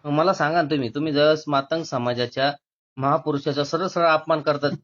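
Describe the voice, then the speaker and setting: A man's voice: a little high, fairly even intonation, quick pace, a bit bright. Persistent man debating on muffled phone. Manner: persistent, challenging. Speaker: caller challenging an activist. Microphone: phone call. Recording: dull lo-fi phone call recording.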